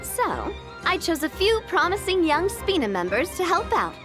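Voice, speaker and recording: A high-voiced young female investigator from a video game, kindly and earnest. Her delivery swings expressively as she reassures, with music underneath. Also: big pitch swings, normal speed. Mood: upbeat.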